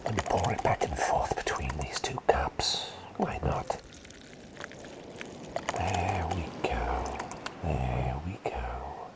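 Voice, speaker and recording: A man playing a kindly, forgetful plague doctor in an ASMR roleplay, whispering gently in a rambling, reassuring way. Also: a bass voice, animated, takes it slowly, full character voice. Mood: gentle, attentive. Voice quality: breathy, whispered.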